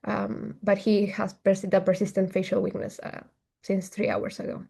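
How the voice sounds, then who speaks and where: A woman from Peru walks listeners through a clinical case in a measured, methodical way. Her voice sits at a middle pitch, with nothing unusual about it. It sounds like she is speaking over a video call. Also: completely flat delivery, vocal fry, mellow, husky. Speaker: case presenter, physician applying to neurology.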